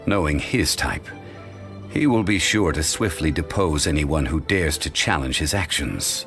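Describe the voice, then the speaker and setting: An actor voicing an ancient, dignified male sage for a game, in formal, measured, unhurried speech. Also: a bass voice, lively intonation, a bit bright, soft background music, full character voice. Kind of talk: studio game voice lines.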